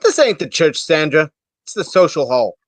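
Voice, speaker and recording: A woman's voice: deep voice, sing-song, normal speed, no echo. A woman playing a grumpy, opinionated, folksy man in a comic staged reading, blunt in manner. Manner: blunt, curmudgeonly.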